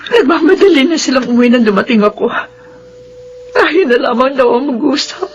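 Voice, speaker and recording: A woman's voice: sits high, animated, brisk, slightly rough, lo-fi sound, exaggerated voice. A woman playing an emotional, guilt-ridden middle-aged mother in a Filipino radio drama. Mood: distressed, tearful. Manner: emotional, melodramatic.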